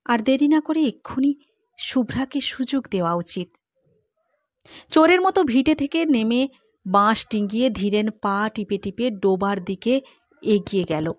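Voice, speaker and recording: Woman narrator for a classic short story, slow and measured, fairly high voice, dry close mic. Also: no room sound. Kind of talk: scripted literary narration.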